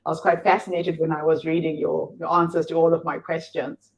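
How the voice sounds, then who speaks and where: A woman's voice: low voice, level tone, fairly quick, poor audio. A woman hosting an online interview, a Shona speaker using English as a second language, who asks careful questions and praises the answers. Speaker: interview host.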